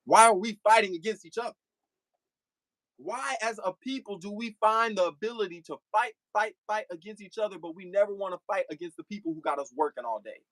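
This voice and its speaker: Fiery preachy man, fairly high voice. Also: a little fast, a bit bright, no echo, poor audio. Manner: preachy, rhetorical, repeats phrases for emphasis. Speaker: streamer addressing live chat. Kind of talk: impassioned livestream monologue.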